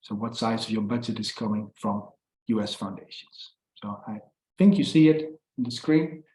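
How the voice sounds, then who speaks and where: A man's voice: fairly low pitch, moderate pace, a little mellow, reverberant, poor audio. A man hosting an online webinar over a video call, presenting his consultancy with frequent hesitations and repeated fillers. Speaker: webinar host, founder of a consultancy.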